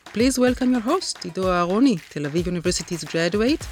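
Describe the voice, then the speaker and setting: A man with a high voice announces a scripted show introduction. His pitch moves in a very animated way. Faint noise sits behind the voice. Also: fast.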